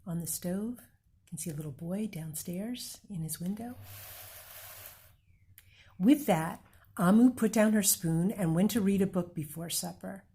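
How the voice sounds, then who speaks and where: Woman storytime reader, gentle and unhurried, breathy tone. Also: lowish voice. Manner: slow, gentle storytime reading, pointing out pictures. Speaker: read-aloud reader. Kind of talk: children's picture book read-aloud.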